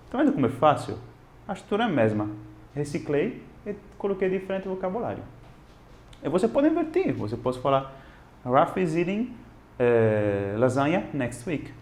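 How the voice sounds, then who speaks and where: A man's voice: medium pitch, normal speed, echoey room. A man teaching English in a casual video lesson, speaking Portuguese as a second language and mixing in English examples. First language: non-Portuguese. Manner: animated and expressive, switching between Portuguese and English.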